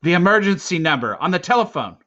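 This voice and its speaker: A man's voice: mid-range voice, measured pace, fairly bright tone, close to an everyday voice. Man playing a blunt, skeptical cop, slightly muffled. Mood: disbelieving. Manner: blunt, incredulous questioning. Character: gruff, skeptical male police officer, bemused and suspicious. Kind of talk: acted dialogue in an audiobook read-aloud. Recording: slightly muffled, dull recording.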